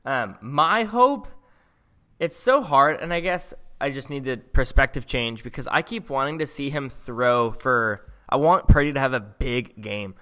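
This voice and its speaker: A man's voice: medium pitch, lively intonation, measured pace, sharp tone. A man co-hosting a football podcast, talking in an enthusiastic, rambling way with frequent restarts. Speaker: podcast co-host. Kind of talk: casual sports chat.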